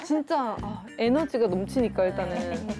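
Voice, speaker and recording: A woman's voice: medium pitch, expressive, moderate pace, loud music behind the voice. A young woman hosting a variety show interview, talking playfully and teasing her guests. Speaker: host.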